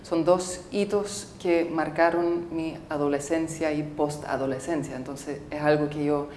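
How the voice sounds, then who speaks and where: Woman, native English speaker, thirties, low voice, halting thoughtful answers, echoey room, faint rumble. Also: fairly even intonation, a little mellow. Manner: thoughtful, halting, with frequent fillers and restarts. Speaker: filmmaker interviewee. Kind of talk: casual interview answers.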